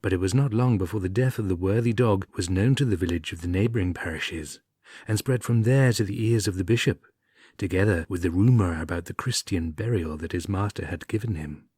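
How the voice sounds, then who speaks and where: Male storyteller, lowish husky voice, wry and measured audiobook read, close dry sound. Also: a little mellow, no echo. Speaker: audiobook reader. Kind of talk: storytelling narration of an old comic tale.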